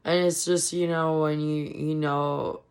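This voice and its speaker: A woman giving casual, frustrated political commentary on her vlog, hoarse from losing her voice. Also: sits low, flat delivery, measured pace, a little mellow. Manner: frustrated, ranting. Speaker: commentary vlogger.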